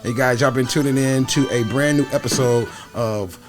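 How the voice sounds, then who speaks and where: Mid-pitched American man hosting casually. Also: moderate pace, music in the background. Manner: repeats phrases, familiar slangy talk. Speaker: podcast host.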